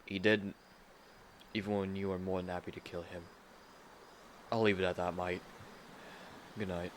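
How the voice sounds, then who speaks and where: A man voicing a gruff, blunt but loyal working-class fellow who talks colloquially in an audio roleplay. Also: low-pitched, little pitch movement, moderate pace, a little hiss or hum, lightly acted. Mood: blunt, earnest.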